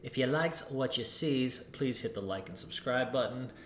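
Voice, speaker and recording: A man's voice: mid-range voice, normal speed, slight background noise. Man in an echoey space. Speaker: video host. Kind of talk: folksy video intro. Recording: roomy, echoing sound.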